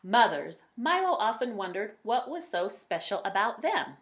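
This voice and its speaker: A woman reading a children's picture book aloud, with dramatic emphasis and drawn-out words. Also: mid-range voice, very animated, a bit bright. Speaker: read-aloud storyteller.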